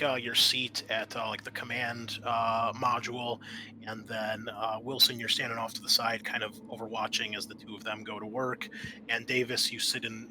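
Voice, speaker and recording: A man's voice: medium pitch, background noise. A man working as game master in a tabletop roleplaying game, explaining things in a conversational way. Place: online voice call.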